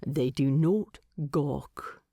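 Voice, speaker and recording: Woman as a low, measured, fond dwarf. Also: dry recording, studio quality, full character voice. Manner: measured, gently wry. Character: steady, fond, dignified male dwarf husband. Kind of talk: audiobook character dialogue.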